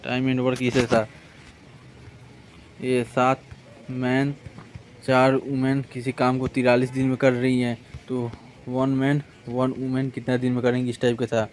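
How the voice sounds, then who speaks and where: Flat-toned man teaching informally. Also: mid-range voice, measured pace, long pauses. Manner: colloquial, repetitive, explanatory. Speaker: exam coaching teacher. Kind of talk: informal exam paper review lesson. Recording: clear background noise.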